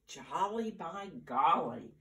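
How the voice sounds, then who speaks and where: An older woman voicing a cheerful, eager male bear from a storybook, with excited, bouncy exclamations. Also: lowish voice, takes it slowly, slight background noise. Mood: eager, pleased. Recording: roomy, distant camera microphone.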